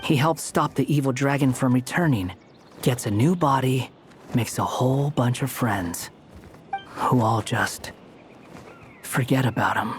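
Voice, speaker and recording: A man's voice: mid-range voice, unhurried, long pauses, soft background music, close to an everyday voice. A man acting a blunt, aloof, sardonic young man in a video game, dry and impatient. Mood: dry, skeptical.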